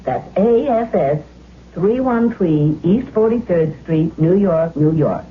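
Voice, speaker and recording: A woman's voice: fairly low pitch, animated, slow pace. A woman reading a scripted, informational public service announcement. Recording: very dull radio recording with faint hum.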